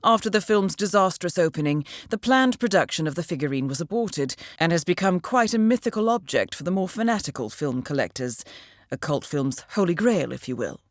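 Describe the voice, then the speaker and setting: A woman playing a composed, dry-witted intelligence handler in a video game, speaking in a measured, formal, understated way as she briefs an assassin. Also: lowish voice, animated, no echo, close to an everyday voice. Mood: cool, observant. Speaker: mission handler. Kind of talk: scripted video game voice acting, mission briefing.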